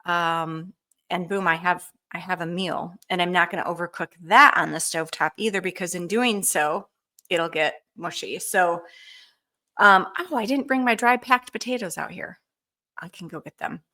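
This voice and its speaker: Chatty woman answering canning questions live, bright voice, a bit hoarse, lots of ums. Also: on the low side, moderate pace. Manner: chatty, enthusiastic, many ums. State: slightly hoarse. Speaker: canning cookbook author hosting a live show. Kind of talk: casual live Q&A cooking demo.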